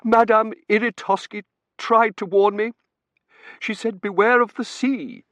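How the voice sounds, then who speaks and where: A man's voice: fairly even intonation, unhurried, fairly bright tone, dry recording. A man voicing an imperious, melodramatic older Victorian matron who wails and commands. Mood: wailing. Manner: shrill, wailing, commanding.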